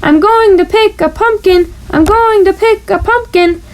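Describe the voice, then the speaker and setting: A woman voicing an excitable young boy frog in a storybook, exclaiming and breaking into singsong chants. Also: extremely high pitch, unhurried, voice pushed up. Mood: eager.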